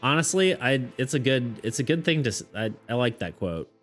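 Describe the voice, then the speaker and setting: A man's voice: mid-range voice, animated, fairly quick, a bit bright. A man chatting casually with his viewers on a live stream, rambling, restarting his sentences and laughing often. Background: faint background music.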